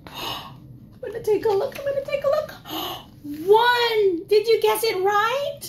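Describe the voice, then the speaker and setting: Very high, animated woman reading a children's story. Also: slow pace, a little hiss or hum. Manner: exaggerated, expressive, asking listeners questions; gasps and drops to whispers for suspense. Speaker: preschool teacher reading a picture book.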